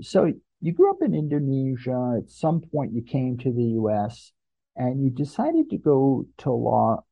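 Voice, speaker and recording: A man's voice: medium pitch, slightly rough, no echo. Male podcast interviewer, mid-range voice, conversational questioning, dry but somewhat dull recording.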